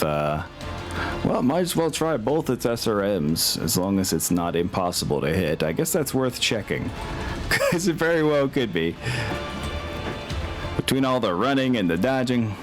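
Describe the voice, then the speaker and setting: A man acting as game master in a casual tabletop wargame session, thinking aloud in an easygoing way. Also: fairly low pitch.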